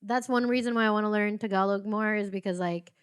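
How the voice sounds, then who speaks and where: A woman's voice: mid-range voice, steady tone, fast talker, smooth. Giggly young woman in casual chat. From United States. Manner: chatty, laughs often, heavy use of "like". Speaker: podcast guest.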